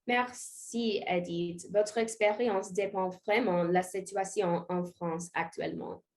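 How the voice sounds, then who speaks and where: Brief: woman as a measured male ministry official, slow and formal. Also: medium pitch, fairly even intonation, darkish tone. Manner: slow, formal, reads figures. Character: male government education official.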